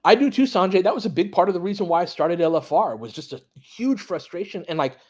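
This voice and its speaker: A man's voice: high-pitched, lively intonation, fast, breathy edge. A man hosting a basketball live stream, mixing casual play-by-play with conversational, opinionated, sweary fan commentary. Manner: partisan fan reacting to plays and chat. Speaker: former high school basketball coach.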